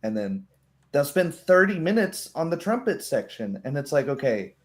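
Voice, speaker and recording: A man's voice: on the high side, sing-song, normal speed. A man speaking casually as a game master, explaining things through analogies and little acted-out examples. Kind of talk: casual chat.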